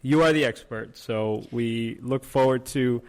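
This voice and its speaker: A young man, born and raised in Puerto Rico with Spanish as his first language, co-hosts a podcast as a therapist. His voice sits at a middling pitch for a man, and he speaks casually, pausing on "um" and "uh" and often restarting his words. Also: poor audio. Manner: hesitant, many "um" and "uh", repeats words. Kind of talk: casual chat.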